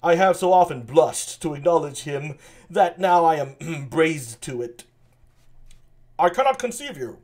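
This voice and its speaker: A bumbling old nobleman, bawdy and boastful, pitched high and swooping wildly up and down, as a man puts him on. He drags his words out slowly and booms them. It sounds like a home reading of a stage play. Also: animated, a little mellow. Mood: bawdy boasting.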